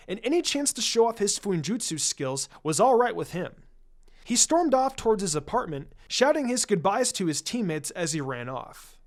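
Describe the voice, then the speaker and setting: A man reading a story aloud as narrator, in scripted narration. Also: high voice. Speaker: fan fiction narrator.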